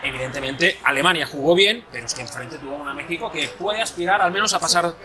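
A man's voice: fairly high pitch, quick pace. A man giving fluent, enthusiastic studio football analysis. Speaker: football pundit. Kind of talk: studio commentary. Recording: music over the voice.

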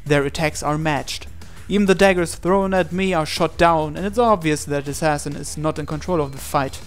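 Man, high voice, dramatic read-aloud narration, gaming let's play, music behind. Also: moderate pace, smooth. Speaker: let's player reading a visual novel aloud.

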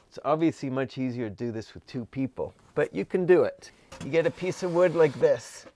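A middle-aged man leads a practical walkthrough in a cramped concrete-block basement. He explains each step patiently and gestures at what he describes. His voice sits at an ordinary middle pitch and the sound is dry, with little room echo. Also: a little mellow. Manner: explanatory, points and gestures while talking. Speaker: home energy auditor. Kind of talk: instructional walkthrough. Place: small unfinished basement with concrete block walls.

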